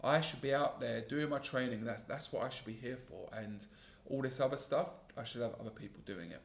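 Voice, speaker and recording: A man in his thirties hosting a solo podcast, talking in a calm, earnest, self-critical way about his work as a sales trainer, with a gravelly voice. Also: mid-range voice, brisk, echoey room, a little hiss or hum. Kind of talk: solo reflective podcast monologue.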